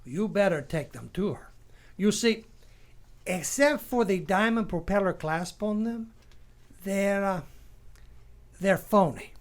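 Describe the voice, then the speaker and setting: An actor playing a skeptical, terse homicide lieutenant in a radio drama, with a knowing way of talking. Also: male voice, high-pitched, lively intonation, slow, pauses often, full character voice. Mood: knowing, accommodating. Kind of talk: radio detective drama acting.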